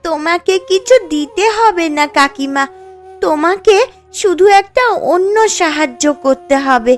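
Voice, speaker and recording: Slow, high-voiced, troubled girl. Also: animated. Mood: worried pleading. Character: troubled, pleading poor village girl. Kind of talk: cartoon story dialogue. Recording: music under the voice.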